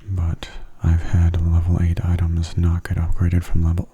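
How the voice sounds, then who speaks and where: A man giving soft-spoken, hushed ASMR commentary on a video game, slipping into whispers, close to the microphone. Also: low-pitched, monotone, measured pace, breathy edge, lo-fi sound.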